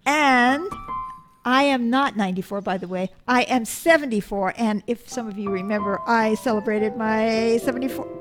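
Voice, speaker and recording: A woman's voice: a little high, moderate pace. Older woman host, joking and rambling. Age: 70s. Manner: rambling, self-mocking, joking asides. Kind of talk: casual monologue to viewers. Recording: loud music playing behind.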